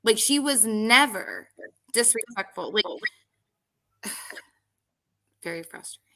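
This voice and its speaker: A woman's voice: on the high side, lively intonation, slow, gravelly, sharp tone. A woman hosting a casual podcast, rambling with lots of fillers and restarts. She says others call her voice girly. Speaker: podcast host. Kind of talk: casual reality-show commentary.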